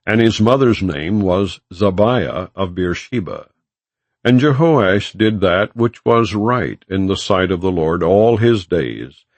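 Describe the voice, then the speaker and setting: A man narrating scripture as an audiobook, in a solemn, formal and measured way without dramatizing it. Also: low voice, dry recording.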